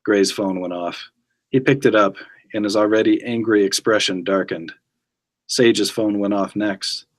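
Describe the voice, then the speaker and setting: A man's voice: lowish voice, unhurried. A man reading scripted story narration aloud. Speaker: guest reader.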